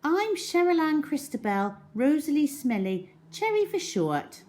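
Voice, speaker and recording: A woman voicing an eager, bossy, chatty young girl in a children's storybook, with an excitable, pushy manner. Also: high-pitched, unhurried, pitched above the performer's own voice. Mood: chatty, matter-of-fact.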